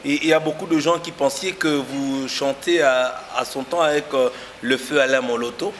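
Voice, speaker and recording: A man's voice: mid-range voice, steady tone, normal speed, fairly bright tone. Hesitant male TV host, echoey hall. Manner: hesitant, many fillers. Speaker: TV show host. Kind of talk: interview, casual talk show. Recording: noisy room with long reverb.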